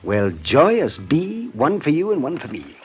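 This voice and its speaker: A man's voice: a little high, wildly swooping pitch, unhurried, noisy background. An actor playing a boozy, childlike old man who chuckles and wheedles in an old-time radio drama. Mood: gleeful. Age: older. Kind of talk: 1950s radio drama acting. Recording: old radio recording with music.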